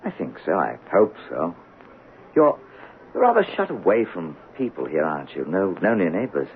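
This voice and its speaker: A low, hesitant male writer, whose voice rises and falls widely as he stammers politely. It sounds like an old radio play, thin as a phone line with a faint hiss behind it. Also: very animated, normal speed. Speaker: Robin Graves, a writer. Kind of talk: 1970s radio drama acting.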